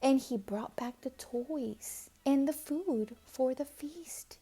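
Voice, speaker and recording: A woman reads a rhyming storybook to children with dramatic expression. Her voice is fairly high and mellow, and she takes her time with very slow, deliberate phrasing, sometimes hushing toward a whisper. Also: breathy edge. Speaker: storybook reader. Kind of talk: expressive children's read-aloud narration.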